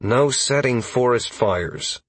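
A man's voice: medium pitch, takes it slowly, slightly rough, dry recording. A man playing a wry, cocky male party leader in a fantasy audiobook, with a dry, teasing manner. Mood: exasperated.

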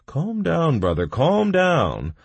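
A man voicing a brash, blunt gamer sidekick in an audiobook, loud and teasing. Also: fairly high pitch, huge pitch swings, takes it slowly, creaky, husky, no echo, lo-fi sound, voice pushed up, exaggerated voice. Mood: exasperated, mocking.

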